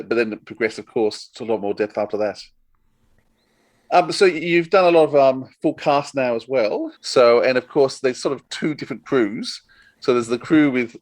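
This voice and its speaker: A man's voice: medium pitch, dry recording. A man hosting a casual podcast interview, asking questions in a conversational, inquisitive way.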